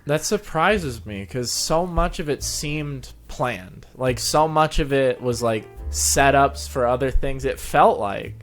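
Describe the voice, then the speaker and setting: A man with an average-pitched voice hosts a casual interview. He chats informally and agreeably in loosely built sentences. Faint music runs underneath. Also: animated, slow pace, few pauses. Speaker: interviewer, show host. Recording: music playing behind the voice. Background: background music.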